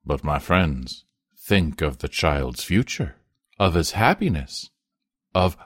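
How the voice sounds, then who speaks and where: A man voicing a polite, wealthy gentleman who reasons formally and persuasively in a storybook reading. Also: extremely low pitch, very animated, slow, no room sound, studio quality, heavily acted. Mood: earnest appeal.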